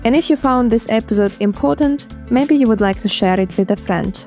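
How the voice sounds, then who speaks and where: A woman presenting a podcast, reading a clear, even scripted outro. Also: fairly high pitch, a little fast, faint music behind.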